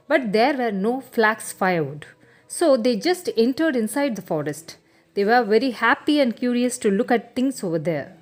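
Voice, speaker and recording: Animated, high-voiced woman storyteller. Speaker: children's storyteller. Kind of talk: scripted bedtime story narration.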